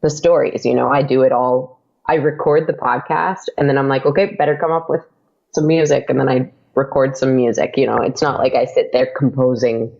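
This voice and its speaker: A woman's voice: a bass voice, fairly even intonation, fairly quick, gravelly, darkish tone, dull audio. A woman guest on a podcast talking casually about making her audio drama, hesitant with many fillers and restarts. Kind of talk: casual interview chat.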